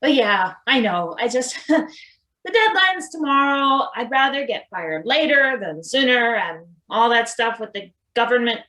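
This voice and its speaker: A woman's voice: a little high, lively intonation, unhurried, sharp tone. A woman playing a wry, sympathetic maintenance worker in a satirical play reading, casual in delivery, with roomy echo. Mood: awkward, reassuring. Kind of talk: table read of a satirical stage play.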